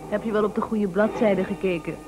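An actress in a Dutch radio play playing a weary, sceptical wife who drily placates her husband, reluctant and gently exasperated. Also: medium pitch, steady tone, fairly quick, lightly acted, poor audio.

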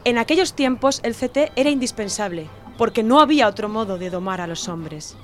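Lady doing a bossy confident mom, kinda high voice, lectures. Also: animated, a little fast, lightly acted. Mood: lecturing. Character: confident authoritative middle-aged mother. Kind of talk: amateur audio drama.

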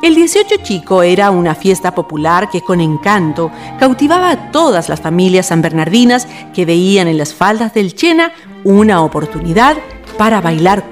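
A woman's voice: medium pitch, a little mellow. A woman with a Chilean accent narrating a scripted radio drama as its host, in a storytelling style. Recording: music behind the voice. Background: music.

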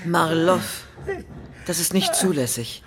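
A woman's voice: on the low side, expressive, slow, over a noisy crowd. An actress playing a stern, principled older woman who leads a dissident group in a radio drama, firm and reproving. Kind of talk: radio crime drama acting.

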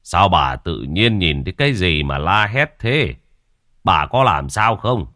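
A man voicing an older village husband who speaks in a gruff, dismissive, matter-of-fact way. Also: deep voice, lively intonation, a little slow, bright, forceful tone, dry recording, clean studio sound, heavily acted. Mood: gruff skeptical.

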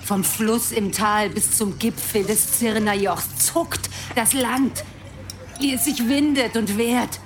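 A woman playing a brooding prophetic figure in a radio play, speaking in ominous, incantatory, drawn-out phrases of rage at mankind. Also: fairly high pitch, fairly even intonation, background noise. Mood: ominous, intense. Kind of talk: dramatic radio play monologue. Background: music.

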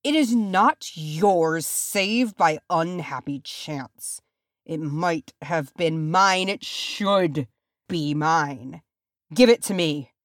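Woman as a bitter, menacing warrior man, slow. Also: lowish voice, big pitch swings, husky, no room sound, clean studio sound, exaggerated voice. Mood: menacing fury. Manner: bitter, snarling, hissing. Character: proud human warrior turning bitter, menacing and crazed.

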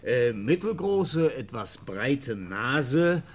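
Casting: gruff, officious 19th-century police interrogator, mid-pitched, strongly animated delivery, old radio drama. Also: male voice, drawn-out words, a little hiss or hum. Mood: officious, dictating. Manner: gruff, hesitating with "äh" while dictating. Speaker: police captain in a radio play. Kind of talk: 1950 German radio drama acting. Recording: vintage 1950 radio play recording.

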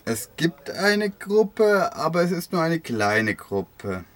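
Higher-voiced man in a dry radio recording. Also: sing-song, slow, no room sound. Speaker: radio presenter and voice-over reader. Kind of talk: radio feature with scripted dialogue and interview voice-over.

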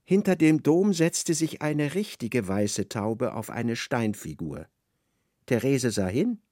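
A man playing a wry literary narrator in a radio drama, expressive and ironic as he slips into a character's thoughts. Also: mid-range voice, takes it slowly, no room sound, studio quality.

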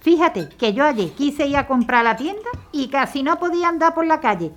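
A woman's voice: high-pitched, normal speed, slight background noise. Woman playing a fretful peacemaking wife. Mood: anxious. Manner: pleading, lively. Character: anxious young wife, peacemaking.

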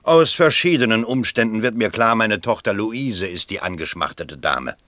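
Man, vain middle-aged father, pompous, emphatic, animated intonation, dry studio radio play. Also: fairly low pitch, moderate pace, no echo. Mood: indignant. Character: vain, blustering nouveau riche father with political ambition. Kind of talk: German radio play acting.

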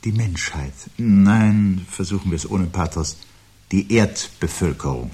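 Deep-voiced, husky, brooding doomsayer. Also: male voice, lively intonation, warm tone, heavily acted. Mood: fatalistic. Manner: measured, portentous, cryptic, given to pathos. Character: brooding man haunted by dread of humanity's end. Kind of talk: 1970s German radio play acting.

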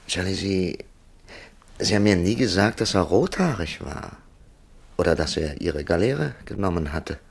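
An inquisitive male narrator in a German radio drama. The voice is very low and creaky, with lively swings of intonation, and it tells the tale in a measured literary manner, recorded dry and close. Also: no echo, exaggerated voice. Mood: probing, quiet.

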